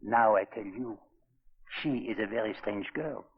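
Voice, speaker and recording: A man's voice: on the low side, moderate pace, gravelly, slightly rough. A man acting a genial, amused French hotel keeper in a 1940s radio drama. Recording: muffled tinny 1940s radio recording.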